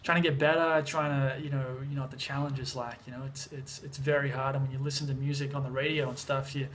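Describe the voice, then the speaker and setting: A young Australian man from Sydney, a bit hoarse from being sick, talking casually to the camera in a relaxed, chatty way with lots of fillers and restarts. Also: mid-range voice, quick pace, a little hiss or hum. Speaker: music producer vlogger. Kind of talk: casual vlog talk to camera. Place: home in the mountains, moving between outdoors and indoors.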